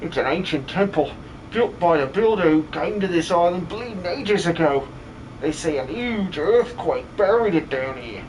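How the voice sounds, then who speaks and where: A man voicing a boastful but cowardly muscle-bound miner with rough, cheery working-class speech in a video game fan dub. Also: on the high side, lively intonation, normal speed, a little hiss or hum, lightly acted, rough recording. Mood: gruff, wary.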